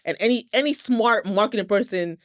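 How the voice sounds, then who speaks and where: A woman's voice: mid-range voice, a little fast, fairly bright tone, no echo. An American woman in a casual podcast discussion, explaining her opinions emphatically in slangy, sweary speech. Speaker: podcast panelist.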